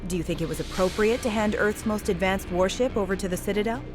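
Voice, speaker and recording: Lady doing pushy reporter in a video game, snide and probing, mid voice, loud music behind. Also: mid-range voice, fast talker, natural voice. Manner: pointed, probing, smug. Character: pushy, insinuating female news reporter. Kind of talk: video game interview dialogue.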